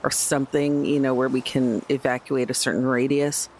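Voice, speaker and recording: A man's voice: medium pitch, level tone, normal speed, a little hiss or hum. Casual man in game discussion. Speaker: tabletop game player.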